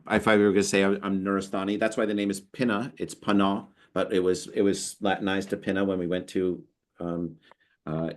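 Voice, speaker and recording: Deep-voiced man telling a rambling story. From United States. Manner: animated, storytelling, lots of restarts. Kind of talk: casual conversation.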